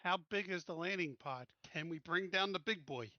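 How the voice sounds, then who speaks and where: A man's voice: high voice, moderate pace, sharp tone, no echo, highs cut off. A man taking part in a tabletop roleplaying game as a player, talking casually about the game.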